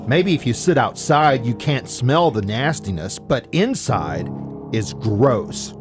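Man, medium pitch, casual radio banter, dramatic reading, guitar music behind. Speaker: radio morning show crew member.

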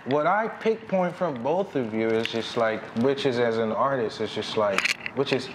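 A man's voice: mid-range voice, lively intonation, moderate pace, slight background noise, poor audio. A man co-hosting a casual podcast chat, talking loosely in slang with many fillers. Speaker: podcast co-host.

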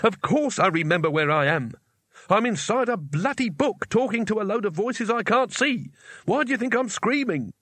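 A hapless fantasy wizard , acted by a male storybook narrator, with a voice pushed up high. He is nervy and indignant, a coward who answers with exasperated sarcasm. The sound is dry and close. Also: expressive, a little fast, a bit bright, no room sound. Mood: shouting, indignant.

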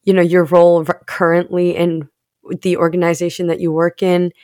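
A woman's voice: lowish voice, monotone, normal speed, warm tone, dry recording, clean studio sound. A woman hosting a podcast, reading a guest introduction and then eagerly asking questions. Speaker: podcast host.

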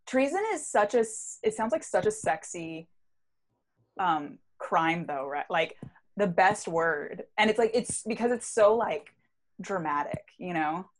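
Woman podcast co-host, fairly low voice, casual chatty talk, lots of fillers. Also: animated, unhurried. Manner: chatty, filler-heavy with frequent "like". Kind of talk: casual chat.

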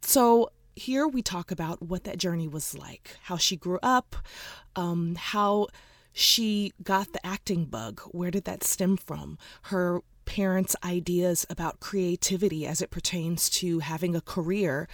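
A woman in her thirties hosting a podcast, talking casually and brightly with fillers. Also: lowish voice, a little slow, no echo, studio quality. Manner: bubbly, chatty, with laughs and fillers. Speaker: podcast host. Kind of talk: casual interview chat.

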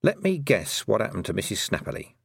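A man voicing a shrewd, brisk witch woman who teaches, with a dry, knowing manner, in an audiobook. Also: fairly low pitch, huge pitch swings, gravelly, breathy edge, no room sound, full character voice. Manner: dry, knowing, clipped questions. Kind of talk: audiobook character dialogue.

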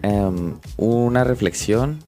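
A man's voice: on the low side, slow pace, dark tone, soft background music. A man with a northern Mexican accent chatting casually as an interview guest, a comedian who often hesitates and searches for words. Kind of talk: casual chat.

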